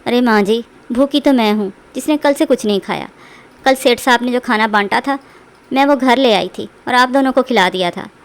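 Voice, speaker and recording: A woman plays a poor young village wife in a cartoon tale. Her voice is rather high, and she speaks in a humble, polite, pleading manner. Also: moderate pace, close to an everyday voice. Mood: pained protest. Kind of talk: animated Hindi moral story acting.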